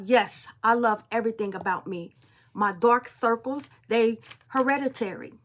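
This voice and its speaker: A woman's voice: fairly high pitch, fairly bright tone. A young adult woman speaking in a casual spiritual monologue as a spiritual reader, blunt and emphatic, swearing freely.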